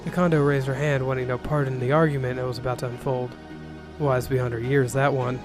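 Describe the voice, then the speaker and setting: A man narrates a first-person ronin tale with dry sarcasm. His voice is mid-pitched, and music plays behind the reading. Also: flat delivery, a little fast. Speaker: narrator reading an interactive novel. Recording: music bed under the voice.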